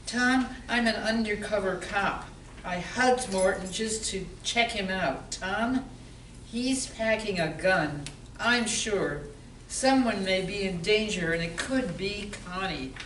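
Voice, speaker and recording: A woman's voice: mid-range voice, takes it slowly, fairly bright tone. A woman playing a flirty barmaid and undercover cop in an amateur radio play. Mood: nervous. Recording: roomy, distant mic, faint hiss.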